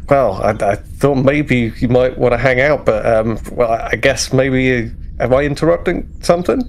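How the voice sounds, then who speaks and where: A man's voice: medium pitch, moderate pace, slight background noise, lightly acted. A man playing a friendly, slightly awkward male starship officer in a tabletop game, speaking hesitantly and politely.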